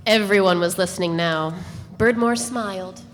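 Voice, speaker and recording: A woman's voice: medium pitch, normal speed. A woman reading scripted first-person literary narration aloud at a live event. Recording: reverberant hall, noisy live recording.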